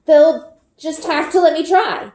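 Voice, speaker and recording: A woman's voice: sits high, a little slow, a little mellow, voice pushed up. A woman voicing an eager, stubborn young girl in a children's storybook, speaking earnestly and insistently. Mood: determined. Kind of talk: children's storybook read-aloud. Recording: roomy indoor echo.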